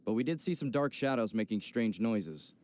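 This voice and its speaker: A man's voice: mid-range voice, expressive, moderate pace, a little mellow, natural voice. A man voicing a protective, skeptical young boyfriend in a video game, firm as he explains. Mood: skeptical, explaining. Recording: game audio with background music.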